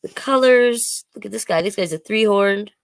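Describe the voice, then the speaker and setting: A woman's voice: mid-range voice, normal speed. A woman hosting a children's storytime, reading aloud expressively and playfully, with chatty explanatory asides for young listeners. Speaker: host reading a children's picture book.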